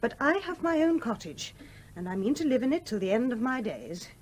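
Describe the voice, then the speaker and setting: A woman's voice: fairly high pitch, big pitch swings, a little fast, darkish tone. An actress playing a dignified, independent mother in a radio play. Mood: tender, amused. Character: firm, independent widowed mother, gently dignified. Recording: noisy radio play recording.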